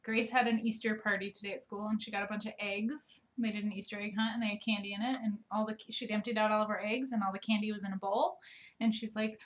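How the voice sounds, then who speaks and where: A woman in her thirties co-hosting a casual ranch livestream from a living room couch, chatty and quick to laugh. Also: mid-range voice, fairly even intonation. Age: 30s. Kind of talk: casual live chat.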